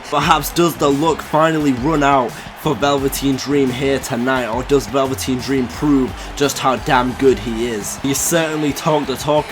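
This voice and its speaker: A man commentating his own wrestling video game, losing his voice, getting hyped and shouting at the big moves. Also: medium pitch, noisy background. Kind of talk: excited play-by-play commentary.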